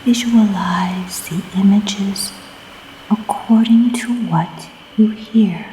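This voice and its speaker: Very slow, breathy woman guiding meditation. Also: mid-range voice, rough recording. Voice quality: soft, breathy, often near-whispered. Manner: calm, soothing, deliberate short phrases with long pauses. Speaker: guided meditation narrator. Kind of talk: scripted guided meditation. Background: music.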